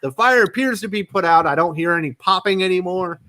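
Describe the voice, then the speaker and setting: A man's voice: high voice, brisk, pushed and bright. A man hosting a casual livestream chat. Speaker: livestream host.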